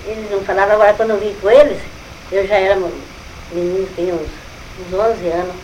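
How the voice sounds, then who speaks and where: An older Brazilian woman chats quickly about her family, exclaiming and repeating herself as she recalls. Her pitch sits in a middle range for a woman. Faint background noise runs under the recording. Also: little pitch movement, darkish tone, rough recording. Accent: Brazilian Portuguese. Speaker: family elder recalling relatives. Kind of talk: casual family conversation.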